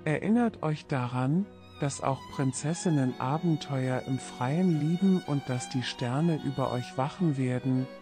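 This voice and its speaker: A man's voice: mid-range voice, measured pace, hardly any pauses. A man narrating a scripted bedtime fairy tale for children as a storyteller. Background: orchestral string serenade music.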